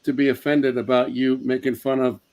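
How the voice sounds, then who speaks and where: Man giving casual, halting advice. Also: medium pitch, little pitch movement, darkish tone. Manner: halting, repeats phrases as he explains. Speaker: comedian giving feedback.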